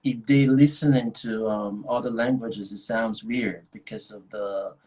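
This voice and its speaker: A man's voice: fairly low pitch, steady tone, a little slow, mellow, slightly rough. A young adult man with a Nigerian accent, chatting casually as a guest, rambling with many restarts and frequent laughs. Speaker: actor guest. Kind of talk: casual video chat.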